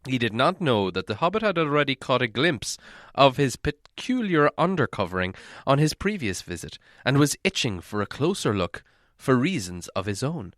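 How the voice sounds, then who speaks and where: Lively man reading a storybook. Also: medium pitch, normal speed, no room sound, clean studio sound. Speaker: audiobook reader. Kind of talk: expressive scripted storybook narration.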